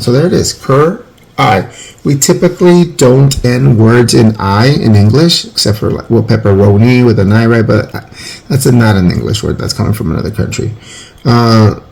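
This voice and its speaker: A man's voice: mid-range voice, creaky, darkish tone, slightly rough, poor audio. A man in his forties, a teacher, giving an informal, rambling phonics lesson and often correcting himself. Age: 40s.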